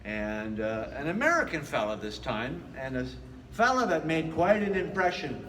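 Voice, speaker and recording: A man's voice: on the high side, big pitch swings, normal speed, a bit bright, a little hiss or hum. A man hosting a casual travel vlog, narrating with wry, joking asides. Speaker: vlog host and travelling musician.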